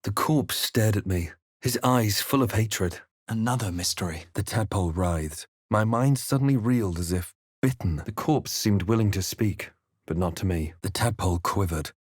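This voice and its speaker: An actor playing a brooding adventurer hero in a fantasy game, speaking in a grave, deliberate, understated way. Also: male voice, on the low side, husky, no echo, clean studio sound. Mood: solemn, reflective. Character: brooding, measured adventurer hero recounting his dark journey. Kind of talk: scripted fantasy game narration and character lines.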